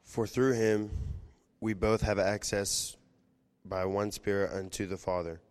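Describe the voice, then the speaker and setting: A man reading scripture aloud in a steady, even way. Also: lowish voice, unhurried, clear, resonant tone. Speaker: scripture reader.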